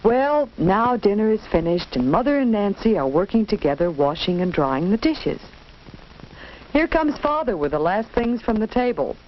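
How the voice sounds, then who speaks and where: A woman tells a simple instructive story for children in the manner of a 1940s educational film. Her pitch moves in a very animated way. The old soundtrack is dull, with faint noise behind her. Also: normal speed. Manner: simple, instructive, addresses the listener directly. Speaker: narrator.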